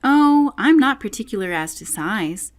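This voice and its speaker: A woman's voice: a little high, animated, unhurried, voice pushed up. A woman plays a timid little girl from a storybook. She is well-mannered and hesitant, and easily flustered when she speaks. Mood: polite, hesitant. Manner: polite and hesitant.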